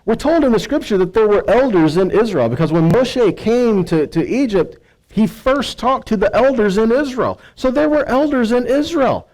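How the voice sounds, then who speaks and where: American guy giving a bible teaching, slightly higher voice but mellow and relaxed, talks it through with questions. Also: moderate pace, poor audio. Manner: conversational, rhetorical questions, frequent check-in tags like right and okay. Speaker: preacher, scripture teacher. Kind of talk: sermon-like Bible teaching, reading verses and explaining.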